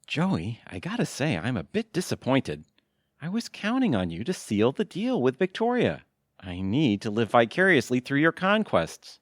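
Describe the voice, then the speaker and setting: A man's voice: medium pitch, wildly swooping pitch, moderate pace, no echo, studio quality. A man acting as a sheepish, disappointed husband in an audio theatre comedy. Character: whiny, disappointed husband living through his buddy.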